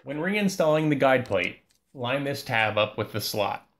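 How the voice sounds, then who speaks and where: A man giving a calm, scripted voice-over that walks through a repair step by step. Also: medium pitch, measured pace. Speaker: repair channel host.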